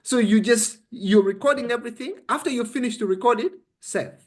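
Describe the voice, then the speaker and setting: Ugandan guy with a pretty high voice, explaining stuff step by step, really stresses his points. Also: brisk. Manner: emphatic, instructive, checks understanding with short questions. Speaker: interview guest explaining a method. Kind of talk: conversational coaching.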